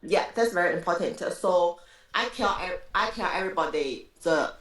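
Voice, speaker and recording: Low-voiced woman, halting non-native English. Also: expressive, low-quality recording. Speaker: guest, real estate agent. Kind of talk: podcast interview.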